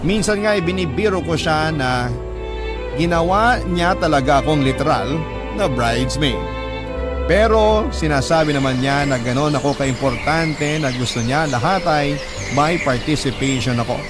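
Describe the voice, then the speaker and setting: A man's voice: a little high, moderate pace, close to an everyday voice. A man acting a sincere, sentimental friend narrating a personal story in a radio drama. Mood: sentimental, wistful. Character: sincere, sentimental friend recounting an old love. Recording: loud music over the voice. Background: loud music.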